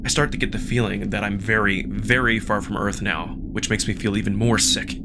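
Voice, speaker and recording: A man reading first-person fiction as a narrator, in an expressive, dramatic storytelling style. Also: lowish voice, fairly quick, noisy background. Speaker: narrator and performer of a visual novel. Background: music.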